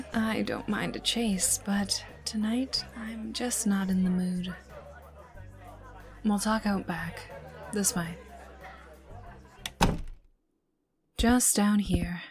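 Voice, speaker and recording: A woman playing a menacing, teasing young enforcer for a crime boss in an ASMR roleplay, taunting the listener in a breathy voice that dips toward whispers. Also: mid-range voice, a little mellow. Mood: quiet menace. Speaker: voice actor in audio roleplay. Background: ambient music and mild sound effects.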